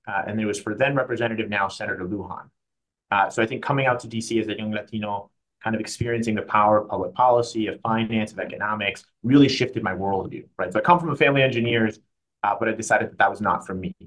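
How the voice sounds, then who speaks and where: Fast-talking man, guest in interview. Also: fairly low pitch, a bit bright, a little hiss or hum, poor audio. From Mexico. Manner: fast, chatty, self-correcting. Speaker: guest, private client advisor. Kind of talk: conversational interview.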